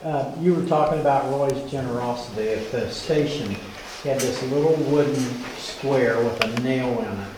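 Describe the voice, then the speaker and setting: A man reminisces casually from the audience of a small meeting hall. The room echoes strongly around his voice, and there is clear background noise. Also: mid-range voice, normal speed. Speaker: audience member sharing memories.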